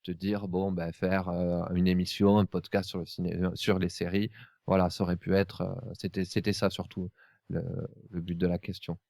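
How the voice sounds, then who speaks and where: A man's voice: deep voice, flat delivery, normal speed, a little mellow, no room sound, studio quality. A man hosting a casual interview, asking questions in a hesitant, roundabout way with many halts. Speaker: podcast interviewer, host.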